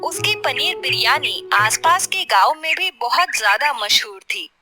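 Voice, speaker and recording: A woman reads a children's story as narrator. Her voice is high and expressive, with lively melodic movement, and music plays clearly behind her. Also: unhurried.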